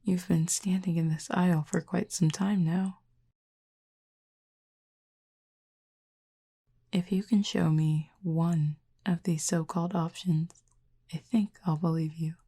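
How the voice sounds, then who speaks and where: A woman voicing a calm, curious cupid in an intimate audio roleplay, speaking softly and half-whispered with formal, measured, gently dry phrasing. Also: lowish voice. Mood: curious, composed. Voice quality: breathy, soft, half-whispered. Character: calm, curious otherworldly cupid who feeds on feelings.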